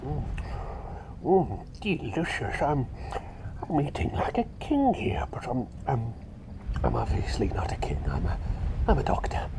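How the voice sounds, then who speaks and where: A man voicing a fussy, chatty little bird who is really a doctor, rambling and repeating himself politely in a hushed, breathy ASMR roleplay. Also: medium pitch, wildly swooping pitch, unhurried, pauses often, rough recording. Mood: contented, chatty. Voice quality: breathy, half-whispered.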